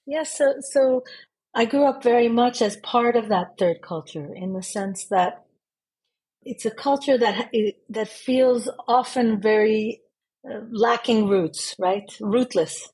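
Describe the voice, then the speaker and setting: Husky-voiced woman, thoughtful podcast guest. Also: fairly high pitch, slow pace, darkish tone. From born in Israel, raised in Switzerland. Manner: thoughtful, with frequent repeated words. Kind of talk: casual interview.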